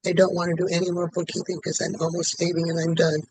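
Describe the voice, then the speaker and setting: A man in his late seventies, a client in a tapping session, who repeats one statement over and over in an even rhythm. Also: fairly high pitch, fast talker, dry recording, rough recording. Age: 70s.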